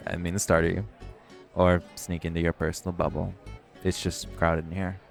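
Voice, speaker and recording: A man acting a low, gravelly, sardonic vampire. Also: darkish tone. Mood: flirtatious. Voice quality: gravelly, creaky voice. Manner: teasing, theatrical, swearing, sighing. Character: smug, hungry male vampire, sardonic and flirtatious. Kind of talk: audio roleplay monologue to the listener.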